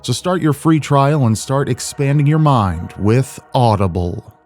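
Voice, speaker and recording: Casual man reacting, soft music behind. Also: mid-range voice, lively intonation, a little slow. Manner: informal, rambling, jokey. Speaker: reaction video host. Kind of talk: casual, profane reaction commentary.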